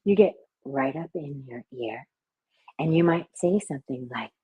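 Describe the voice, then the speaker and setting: A woman working as a hypnotist, talking in an interview with a soft, soothing voice. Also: sits low, animated, moderate pace, breathy edge, phone-quality sound. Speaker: hypnotist and party performer. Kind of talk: conversational interview answers.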